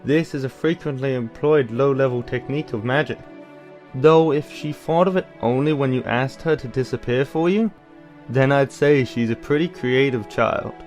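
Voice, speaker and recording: A man playing a caring, bookish older brother in an audio drama, explaining things in a measured, slightly formal way. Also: mid-range voice, darkish tone, soft background music, close to an everyday voice. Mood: explanatory. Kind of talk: anime-style audio drama acting.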